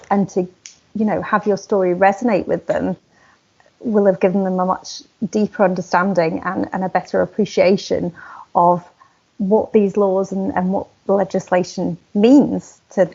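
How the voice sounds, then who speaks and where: Husky, creaky-voiced woman interviewer. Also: mid-range voice, mellow. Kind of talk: conversational interview. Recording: dull, muffled lo-fi sound.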